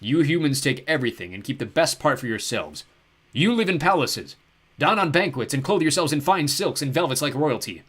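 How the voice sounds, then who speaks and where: A man voicing a huge, cruel ancient ogre lord who talks slowly and boastfully. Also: a bit bright. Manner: booming, slow and gloating. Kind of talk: audiobook character voice.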